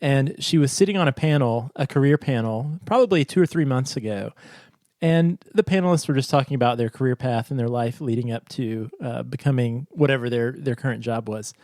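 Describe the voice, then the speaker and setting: A man co-hosts a podcast in easygoing chat, poking fun at himself as he tells a story. His voice is mid-pitched. It is recorded close and dry. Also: no echo. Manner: relaxed storytelling, self-deprecating. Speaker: podcast co-host.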